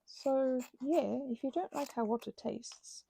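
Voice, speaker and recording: Soft husky, creaky woman rambling. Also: high-pitched. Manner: rambling, halting, self-correcting, earnest. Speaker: host of a craft ramble channel. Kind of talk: unscripted ASMR craft ramble.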